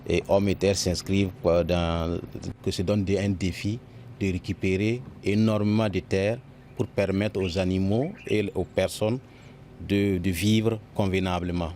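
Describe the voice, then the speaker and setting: A man with a very low voice answers interview questions off the cuff. He halts and repeats words as he goes. There is faint background noise around him. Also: little pitch movement, darkish tone. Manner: halting, repeats words and phrases. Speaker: company employee being interviewed.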